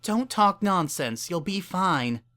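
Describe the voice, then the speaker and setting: A man plays a caring female pony mayor in a dramatic story reading. The voice is lifted well up high, worried and urgent, pressing to help. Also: lively intonation, takes it slowly, sharp tone, exaggerated voice. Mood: urgent, reassuring.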